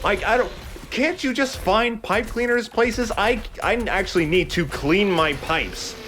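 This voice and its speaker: A man's voice: high voice, measured pace, a bit bright, faint music behind. A man hosting a let's play with chatty, casually sweary gaming commentary.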